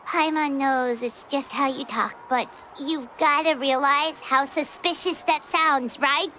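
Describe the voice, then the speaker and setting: A woman voicing a tiny, cheerful fairy companion with a squeaky, childlike voice in an anime-style video game. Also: steady tone, unhurried, bright, forceful tone, a little hiss or hum, rough recording. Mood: earnest. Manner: speaks of herself in third person. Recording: background music.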